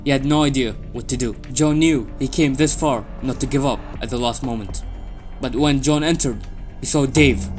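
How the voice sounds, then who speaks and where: A man narrates a scripted fiction story in a mid-range voice. Music plays clearly underneath his reading. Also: mid-range voice. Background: music bed.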